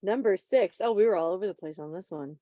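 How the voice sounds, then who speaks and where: A woman's voice: mid-range voice, quick pace, warm tone, no room sound, studio quality. A woman with a playful, teasing, chatty manner, casually presenting a quiz on a video call. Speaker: quiz host at a club meeting. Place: online video meeting.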